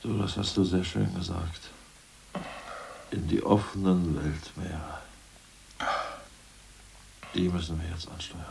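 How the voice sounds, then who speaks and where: An actor playing an excitable, blustering ousted party official in a 1980s radio play, quick to take offense. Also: male voice, low voice, vocal fry, a little hiss or hum, heavily acted. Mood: chummy. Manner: indignant, blustering.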